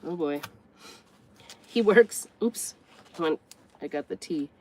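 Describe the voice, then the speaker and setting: A woman's voice: fairly low pitch, expressive, takes it slowly, background noise. Congested grandmother chatting while crafting. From United States. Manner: chatty, rambling, thinks aloud while working. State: stuffed up, congested. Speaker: craft vlogger. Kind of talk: casual craft tutorial chat.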